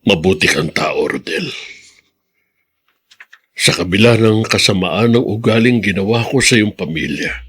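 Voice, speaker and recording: An actor playing a remorseful old grandfather in a Filipino radio drama, raspy, earnest and solemn, with an emotional delivery. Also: fairly low pitch, unhurried, pauses often, a bit bright. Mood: tender, repentant.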